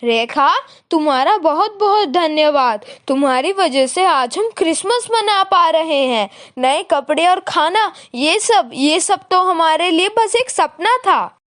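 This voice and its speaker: A woman's voice: very high voice, lively intonation, takes it slowly, a bit bright, no echo, studio quality, pitched above the performer's own voice, heavily acted. A woman voicing a grateful, earnest poor young boy in a children's moral story. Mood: moved, grateful.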